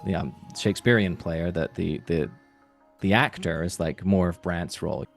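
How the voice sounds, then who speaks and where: A man speaking as a voice actor guest in a casual interview, halting and thinking aloud as he goes. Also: sits low, vocal fry, faint music behind.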